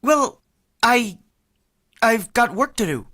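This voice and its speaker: A woman's voice: a little high, sing-song, slow, a bit bright, heavily acted. A woman playing a timid, tongue-tied young man in a vintage-style radio play. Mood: flustered. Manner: stammering, timid.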